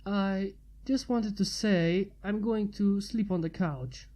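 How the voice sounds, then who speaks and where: A woman voicing a shy, polite male pyromancer with quiet, hesitant speech in a storybook reading. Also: mid-range voice, slow, close to an everyday voice.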